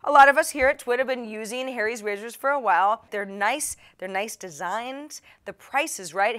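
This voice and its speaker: A woman's voice: mid-range voice, fairly bright tone. Playful, chatty woman presenting. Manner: chatty, playful, wry and self-deprecating. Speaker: host of a tech tips show. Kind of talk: presenter talk to the audience, part scripted, part casual.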